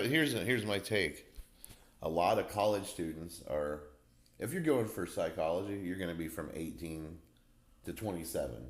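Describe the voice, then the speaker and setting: A man in his forties hosting a casual podcast chat, talkative and slangy, laughing easily. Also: a bass voice. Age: 40s. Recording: roomy echo.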